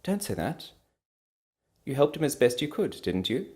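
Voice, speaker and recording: A man voicing a gentle, curious and sympathetic female visitor in a storybook, soft-hearted and full of wondering questions. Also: mid-range voice, very animated, normal speed, big-room sound, voice pushed up, close to an everyday voice. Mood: reassuring. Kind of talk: storybook character reading.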